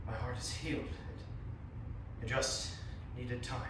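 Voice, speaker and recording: A man's voice: mid-range voice, flat delivery, takes it slowly, lightly acted. A man acting a heartless, tormented pirate captain in a theatrical, pompous stage style. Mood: subdued, relieved. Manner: theatrical, bombastic, pompous wording. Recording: echoey hall from far off, faint noise.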